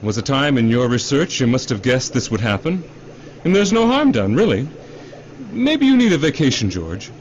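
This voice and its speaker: A man's voice: medium pitch, big pitch swings, normal speed, lightly acted. An actor in a radio drama playing a glib, defensive middle-aged executive who cajoles and repeats himself like a salesman. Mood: cajoling. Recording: dull radio drama recording, faint noise.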